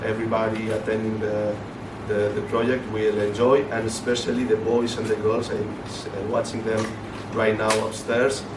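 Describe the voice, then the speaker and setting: Halting man speaking in an echoey hall. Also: fairly low pitch. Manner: halting, many fillers and restarts. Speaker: football league representative. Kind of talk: event speech. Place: hotel function room. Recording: echoey room from far off, noisy.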